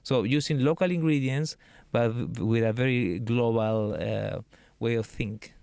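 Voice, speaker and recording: A Peruvian man, a chef, answering interview questions in a relaxed way, thinking aloud with many fillers. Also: medium pitch, measured pace, gravelly, smooth, dry recording. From Peru. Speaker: chef and cookbook author.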